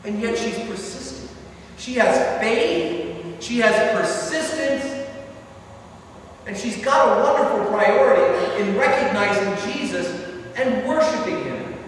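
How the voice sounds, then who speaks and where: A man's voice: high-pitched, slow pace. A middle-aged man preaching a sermon as a priest, in a conversational way with asides, recorded from the back of a large stone church. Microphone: far camera at the back of the church. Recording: echoey church from far off, faint hiss.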